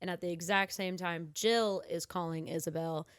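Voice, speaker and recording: A woman's voice: lowish voice, steady tone, normal speed, clear, resonant tone, dry recording, studio quality. A woman co-hosting a podcast in casual chat, speaking informally and with slangy emphasis. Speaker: podcast co-host. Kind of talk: casual chat reviewing films and books.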